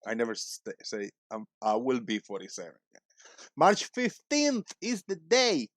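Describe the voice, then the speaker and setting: A man in his forties hosting a casual livestream, talking in a loud, joking, rambling way. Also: mid-range voice, wildly swooping pitch, a little slow, no echo, studio quality. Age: 40s. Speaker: livestream host.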